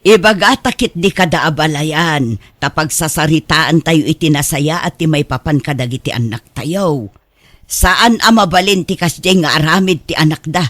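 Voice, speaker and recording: A woman playing a concerned older wife and mother in a radio drama, a firm peacemaker with a measured, admonishing way of talking. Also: sits low, a bit bright, no echo.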